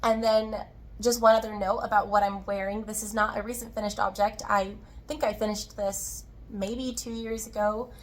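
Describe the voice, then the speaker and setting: Slightly hoarse woman chatting about crafts. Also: mid-range voice, flat delivery, moderate pace. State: slightly hoarse, tired-sounding from recovering from illness. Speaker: podcast host, yarn dyer and shop owner. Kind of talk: casual crafting video chat.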